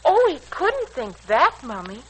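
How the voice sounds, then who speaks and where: Very high-voiced, fussing young daughter. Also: female voice, wildly swooping pitch, a little slow, fairly bright tone, slight background noise, exaggerated voice, low-quality recording. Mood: concerned. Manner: fussing, concerned. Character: anxious, solicitous young daughter. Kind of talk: 1940s radio serial acting.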